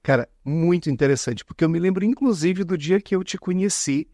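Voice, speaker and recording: A man with a Brazilian accent hosts a conversational interview. His voice sits a little high for a man and is recorded very dry. He talks in a chatty, informal way and readily pays compliments. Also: brisk, dark tone, dry recording. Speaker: podcast host.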